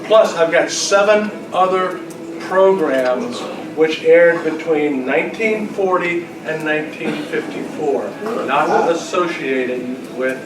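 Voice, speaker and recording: Male amateur quiz master, high voice, joking style, recorded from a distance. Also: slow. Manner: joking, bantering. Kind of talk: informal quiz hosting to a group. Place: luncheon gathering in a room. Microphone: far from the speaker. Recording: distant echoey room, loud crowd noise.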